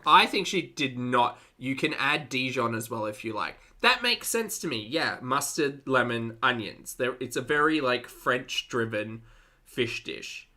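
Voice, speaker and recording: Animated, bright-voiced, incredulous reaction commentator. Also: medium pitch, a little slow. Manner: incredulous, sweary, amused. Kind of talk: casual, unscripted reaction commentary.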